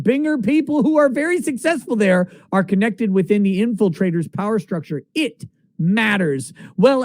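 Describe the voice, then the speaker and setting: Male reader with a high voice, reading written text aloud on a dry close mic, now and then stumbling and restarting. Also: darkish tone, dry recording. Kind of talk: reading a book page aloud.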